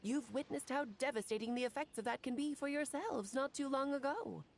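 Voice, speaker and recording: A woman's voice: high voice, fairly quick, creaky. A woman voicing a playful, theatrical witch mentor who talks in a grand, teasing and affectionate way, in video game dialogue. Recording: faint game music behind.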